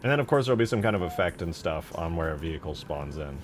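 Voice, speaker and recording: A man's voice: low voice, animated, fast talker. A man talking casually on a livestream as he works on a game mod, informal and half muttering to himself. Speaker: game modder streaming his work. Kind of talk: casual livestream chat while working. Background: background music.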